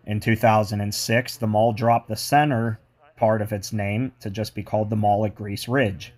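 A man's voice: lowish voice, flat delivery, normal speed, darkish tone. A man giving an enthusiastic walking tour of a mall, mixing its history with chatty asides. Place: indoor shopping mall.

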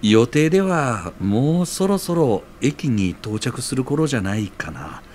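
A man playing a reasonable, reassuring friend in an audio drama, speaking gently. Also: mid-range voice, very animated, unhurried, slight background noise.

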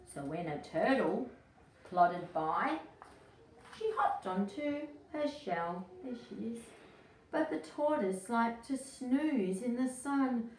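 A middle-aged woman, an educator, reading a picture book aloud to young children in an expressive, emphatic way. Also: fairly high pitch, takes it slowly. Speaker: early childhood educator, story-time host. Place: small indoor room. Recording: echoey room, distant mic, faint noise.

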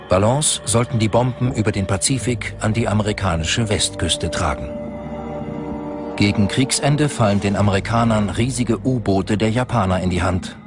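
A man from reads a scripted documentary narration in a sober, factual way. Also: on the low side.

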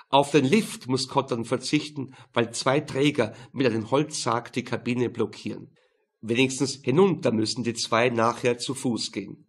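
A man's voice: medium pitch, slightly rough. A man reading a crime novel aloud as scripted audiobook narration.

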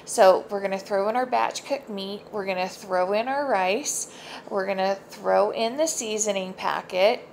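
A woman's voice: medium pitch, a little slow, gravelly. Woman home cook, thirties, casual upbeat tutorial narration with asides; slight background noise. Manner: chatty, upbeat, lots of asides and fillers. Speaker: home cooking vlogger. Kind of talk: casual cooking tutorial voice-over. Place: home kitchen.

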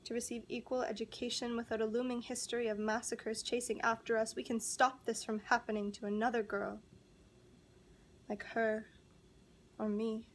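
Young woman reciting slam poetry, flat pitch. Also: moderate pace, a little hiss or hum. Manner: earnest, pleading. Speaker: spoken word poet.

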